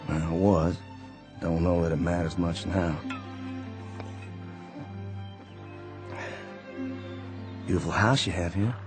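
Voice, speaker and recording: Guy doing a tired gentle sergeant, real deep voice, radio play. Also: fairly even intonation, normal speed, soft background music, close to an everyday voice. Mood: tired, courteous. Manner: gentle, fatherly, plain-spoken. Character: weary kindly Confederate sergeant on a crutch. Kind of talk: 1960s-style radio drama acting.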